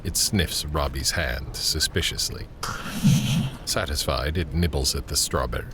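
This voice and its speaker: A man narrating an audio drama in measured, descriptive scripted prose. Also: very deep voice, noisy background. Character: male narrator setting scenes, measured and descriptive. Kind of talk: scripted present-tense scene narration.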